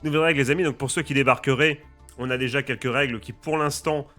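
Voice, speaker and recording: A bright, forceful-sounding man with a slight head cold, talking casually and eagerly to his viewers. He keeps a chatty, lively tone as he lays out the rules, while quiet music plays in the background. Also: mid-range voice, fast talker. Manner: lively, addresses viewers as friends and guys. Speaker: gaming YouTuber and streamer.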